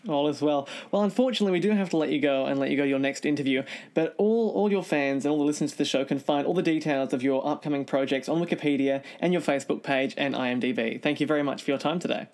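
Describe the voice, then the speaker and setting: A man with a fairly high voice hosts an interview, talking fast and politely. His questions come in quick, tidy phrases. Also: darkish tone. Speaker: podcast host. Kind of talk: interview hosting.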